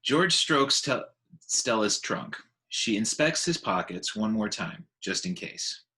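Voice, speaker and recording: A man narrates a children's story aloud. His voice sits slightly low and moves with very lively intonation, giving an expressive read. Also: slow. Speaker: teacher reading aloud.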